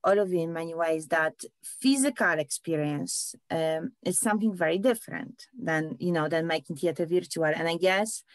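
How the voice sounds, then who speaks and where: A woman moderating an online panel over a video call, asking measured, reflective questions. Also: lowish voice, no room sound.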